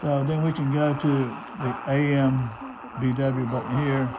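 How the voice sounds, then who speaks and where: A man's voice: medium pitch, fairly even intonation, unhurried. A man giving a casual, unscripted hands-on radio tutorial, narrating each step as he goes. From United States. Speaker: radio hobbyist demonstrating a receiver. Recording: noisy, shortwave radio static behind. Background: radio static and noisy broadcast.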